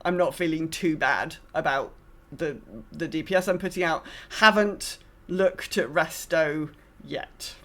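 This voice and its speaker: A woman's voice: sits low, unhurried, fairly bright tone. A woman co-hosting a gaming podcast in casual chat, thinking aloud in a hesitant, hedging way. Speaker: podcast co-host.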